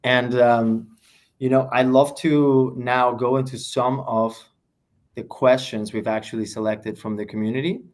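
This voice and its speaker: A man with a mid-pitched voice hosts an interview over a remote call. He reads out questions and speaks in an upbeat, complimentary way toward his guest, with plenty of "you know" fillers. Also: steady tone, measured pace, darkish tone. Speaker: podcast host. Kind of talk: interview hosting.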